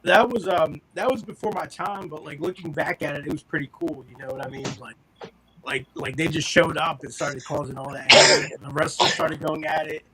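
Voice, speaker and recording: A man in his thirties joining by phone, speaking hesitantly in halting bits. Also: fairly high pitch, sharp tone, rough recording. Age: 30s. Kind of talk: casual chat.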